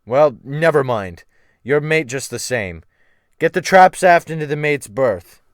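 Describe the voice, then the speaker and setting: A man performs a brutal sea captain for a dramatic storybook reading. He barks and sneers his orders with commanding menace. The pitch swings widely, and the sound is close and dry. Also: lively intonation, unhurried, no echo. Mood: imperious. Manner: snapping, barking orders, thundering. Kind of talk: dramatic audiobook character reading.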